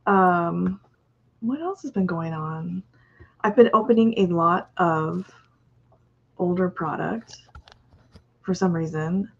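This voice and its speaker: A tired woman co-hosting a live podcast, relaxed and wry in casual chat. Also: lowish voice, takes it slowly, creaky, long pauses, slightly rough. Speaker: podcast co-host. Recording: dull lo-fi audio.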